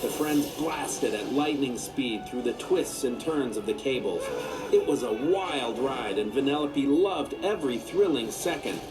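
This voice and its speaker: A male narrator reads a children's story expressively. His medium-pitched voice moves up and down in a lively way, with music playing behind him. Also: a little slow, low-quality recording.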